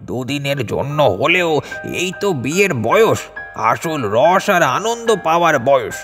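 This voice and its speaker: A man's voice: on the high side, sing-song, slow pace, a bit bright, in a large hall, faint music behind, heavily acted. A man playing a resentful, fatalistic old aunt who complains, in a dramatised audio story. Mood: aggrieved.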